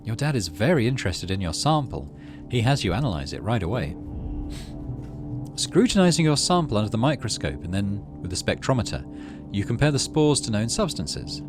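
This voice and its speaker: Man, lower-pitched voice, very varied intonation, game playthrough narration, muttered asides, music behind. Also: big pitch swings, brisk. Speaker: gaming video host. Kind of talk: game playthrough commentary and reading story text aloud.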